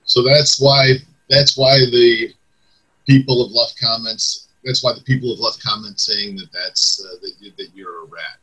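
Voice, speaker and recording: A man in his forties with a bright, forceful and raspy voice hosts a casual interview. He is on a video call from a room at home. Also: medium pitch, fairly even intonation, measured pace, poor audio. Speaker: interviewer and host.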